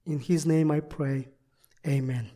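A guest preacher whose first language is Russian, giving a sermon in halting, deliberate second-language speech. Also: medium pitch, steady tone, warm tone. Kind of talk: sermon with prayer and scripture reading.

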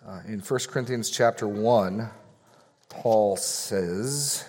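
A man with a fairly low voice leads a Bible study in a church classroom. He talks casually and loosely, often restarting sentences and slipping in small jokes between points. The tone stays informal and conversational. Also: slow pace, a little mellow. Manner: rambling, self-correcting, joking asides. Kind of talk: casual teaching with Q&A.

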